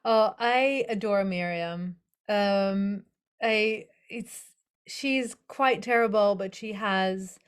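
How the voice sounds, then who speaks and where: A young adult woman author being interviewed, talking casually and thoughtfully with many fillers and restarts. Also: medium pitch. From Netherlands. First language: English as first language, also Hebrew and Dutch.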